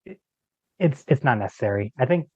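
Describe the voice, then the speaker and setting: A man's voice: medium pitch, animated, a little fast, slightly rough, dry recording. A man speaking as a podcast guest in a casual, informal chat, recorded with slightly lo-fi sound. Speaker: podcast guest, creator of an audio drama.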